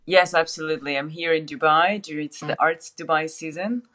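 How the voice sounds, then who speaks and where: A woman's voice: low voice, fairly even intonation, a little fast, a bit bright. A woman, speaking as a guest on a remote interview about her art advisory work and her life. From France. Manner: fluent, with many repeated starts. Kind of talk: casual interview chat. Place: remote call from another city.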